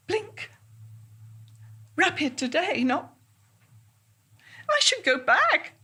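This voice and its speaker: Actress doing unsettled woman monologue, slow and halting, pitch jumping around, keeps repeating herself. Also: pushed and bright, full character voice. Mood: anxious, faltering. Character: unsettled woman convinced reality keeps shifting, halting and obsessive. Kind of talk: theatrical monologue.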